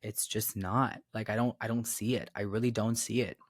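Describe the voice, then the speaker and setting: A man's voice: on the low side, steady tone, moderate pace, no room sound. Rambling young man, dry close mic. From Florida, raised in Miami. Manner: rambling, repeats phrases, many fillers. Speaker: podcast guest. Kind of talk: casual conversation.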